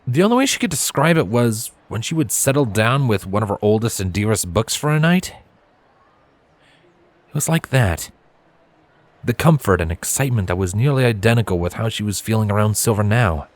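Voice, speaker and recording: Guy narrating a storybook, lowish voice, really dry close sound. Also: expressive, fairly quick, no room sound. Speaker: narrator, fan fiction reader.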